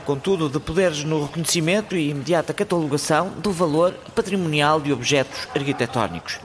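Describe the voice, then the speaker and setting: Officious, high-voiced male assistant. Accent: European Portuguese. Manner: formal, bureaucratic, deadpan. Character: officious male personal assistant giving solemn warnings. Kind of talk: Portuguese radio comedy soap acting. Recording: noisy recording.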